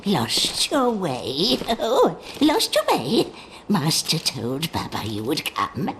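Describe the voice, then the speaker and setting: A crazed old hag from a dark fantasy game, swooping wildly from low mutters to shrieks. The speech is very drawn out, wheedling and broken, in a gravelly cackle over faint noise. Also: female voice, huge pitch swings, slow pace, exaggerated voice. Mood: sly, teasing. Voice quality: creaky. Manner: cackling, sing-song, broken speech in third person. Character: crazed, wheedling old witch, craving youth. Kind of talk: video game character acting.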